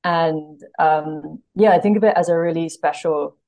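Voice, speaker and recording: A woman's voice: deep voice, unhurried, a little mellow. A woman speaking conversationally as an interview guest, earnest and thoughtful, often searching for the right words. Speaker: podcast guest, literary translator.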